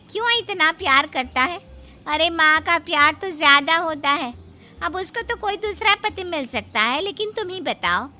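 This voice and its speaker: A woman voicing a shrill gossiping neighbor. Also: bright, forceful tone, full character voice. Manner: gossipy, stirring trouble. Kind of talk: cartoon voice acting.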